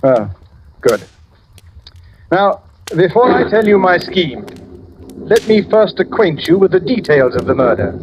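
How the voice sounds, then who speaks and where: An actor playing a self-satisfied, shrewd detective with a measured, theatrical manner in an old radio drama. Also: male voice, high voice, very animated. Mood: smug, theatrical. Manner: pompous, measured, assured. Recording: noisy old radio recording with clicks.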